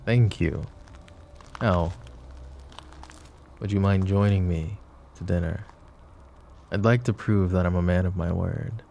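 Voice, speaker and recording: Man acting a deep, gravelly, flirty mafia boss. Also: fairly even intonation, slow pace, long pauses, mellow, a little hiss or hum, full character voice. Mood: courteous, coaxing. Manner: suave, mock-courteous, teasing drawl. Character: flirty, possessive mafia boss courting a detective. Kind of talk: roleplay ASMR acting, intimate address to listener.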